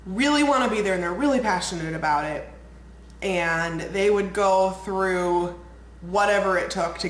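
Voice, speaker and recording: A young woman vlogging in a casual, rambling way, a bit congested and nasal from a cold. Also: lowish voice. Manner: bubbly, rambling, lots of "like". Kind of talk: casual chatty vlog. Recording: echoey room, faint ambient noise.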